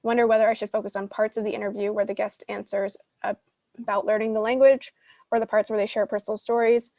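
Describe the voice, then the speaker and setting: A woman's voice: medium pitch, level tone, fast talker, dark tone, no room sound. Female session leader, mid-range voice, conversational advice-giving that works things out aloud, very dry close recording. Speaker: podcast host teaching a live Q&A session. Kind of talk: casual advice, answering audience questions.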